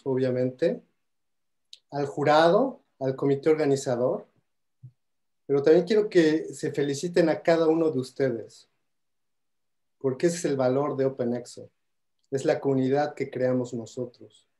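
A man in his thirties with a Mexican accent, giving an earnest toast on a video call through a headset. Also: on the high side. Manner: earnest, heartfelt, warm thanks. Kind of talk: spoken toast at an online awards event. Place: home room on a video call.

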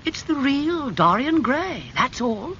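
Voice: sits high, sing-song, slow pace, a bit bright, highs cut off, slight background noise, pitched above the performer's own voice, heavily acted. A reader doing a drawling, cynical lord. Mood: wry. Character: witty, cynical English lord, amused and provocative.

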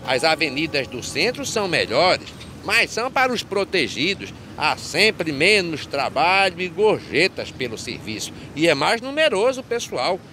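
An actor playing a cynical, complaining gravedigger in an animated film, speaking emphatic verse. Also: male voice, a little high, normal speed, hardly any pauses, a little hiss or hum. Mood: grumbling. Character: grumbling male cemetery gravedigger, cynical and worn-down.

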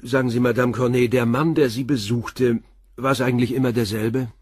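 An actor playing a calm, persistent male police inspector who questions tersely and methodically in a radio crime drama. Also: mid-range voice, fairly quick, breathy edge, dry recording, clean studio sound, lightly acted. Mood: measured, inquisitive.

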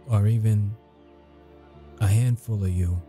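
An actor playing a grumpy but caring military intelligence interrogator in an ASMR audio roleplay, speaking in measured, halting phrases. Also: male voice, on the low side, fairly even intonation, mellow, soft background music. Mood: formal, measured. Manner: measured, halting, deliberate delivery.

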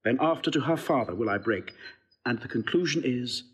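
Noble prince, assured matchmaker, husky, swooping intonation, classical radio play. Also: male voice, medium pitch, lively intonation, a little fast. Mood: assured, eager. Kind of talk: classical Shakespearean audio drama acting.

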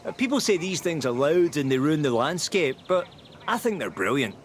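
An actor playing an easygoing, wry festival organiser, casually narrating in a video game, chatty and self-deprecating. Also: male voice, on the high side, big pitch swings, moderate pace, a little hiss or hum. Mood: playful. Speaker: video game character guide narrating landmarks.

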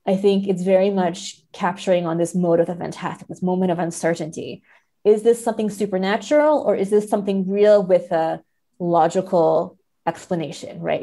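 A woman's voice: lowish voice, warm tone. A woman, a podcast guest, talking analytically in an explanatory way and often checking in with "right?". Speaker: podcast guest, literary scholar.

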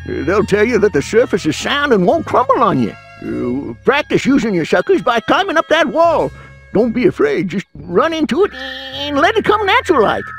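A man's voice: very high-pitched, fairly bright tone, heavily acted. A man voicing a folksy old gold prospector with an Old West American accent in a cartoon video game. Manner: folksy, chuckling, hesitant.

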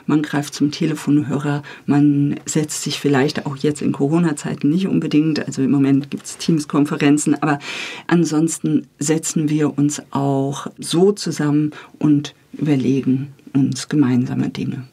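A middle-aged woman with a slightly husky voice, talking about her work as a guest in a studio interview. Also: deep voice, flat delivery, moderate pace, dark tone. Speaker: interview guest, radio drama editor and director. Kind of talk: interview talk about radio drama work. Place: recording studio.

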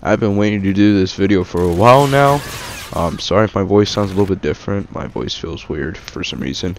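A man's voice: low-pitched, brisk, a little hiss or hum. A young man casually reviewing a shooter game over gameplay, rambling with many fillers and restarts. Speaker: gaming YouTuber.

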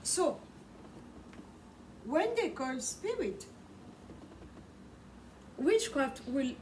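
A middle-aged woman, a native Swahili speaker, preaches with passion and repeats her key words. Her voice sits fairly high. She is picked up by a camera mic across a small study, with a faint hum in the background. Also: big pitch swings, drawn-out words, darkish tone. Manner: impassioned, repeats words for emphasis, gestures a lot. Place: small home study with bookshelves, indoors. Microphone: camera mic from across the room. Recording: distant mic with faint room noise.

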